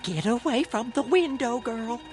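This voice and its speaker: A woman's voice: a little high, expressive, a little slow, darkish tone, soft background music. A woman playing a fretful, protective rural mother with an American country accent in a radio drama. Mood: anxious, scolding.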